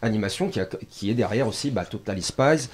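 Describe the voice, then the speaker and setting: A man's voice: fairly low pitch, animated, quick pace, breathy edge. A man co-hosting a studio talk show, adding comments and references.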